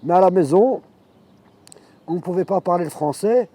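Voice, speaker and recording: Dark-voiced man in his fifties. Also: high-pitched, expressive. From Morocco. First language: Arabic. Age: 50s. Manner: reflective, halting storytelling. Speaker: interviewee. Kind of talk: personal life testimony.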